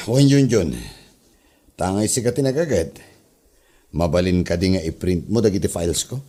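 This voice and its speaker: A man's voice: low voice, normal speed, dark tone. A man playing a lazy, demanding office boss who grumbles and orders people around in a comedy audio drama. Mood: bossy. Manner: grumbling, bossy.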